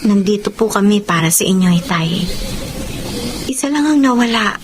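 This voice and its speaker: A woman's voice: mid-range voice, expressive, moderate pace, natural voice. An actress playing a daughter who pleads emotionally with her father in a Filipino radio drama. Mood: sad pleading. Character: grown daughter pleading gently with grieving father. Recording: noisy ambience behind the voice.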